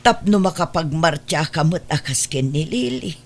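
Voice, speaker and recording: A woman's voice: low-pitched, lively intonation, moderate pace. A woman playing a worried, pleading mother in a radio drama, speaking earnestly and drawing out her words with emotion. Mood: hurt, pleading. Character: worried, pleading mother of a wayward grown son. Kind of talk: Ilocano radio drama acting.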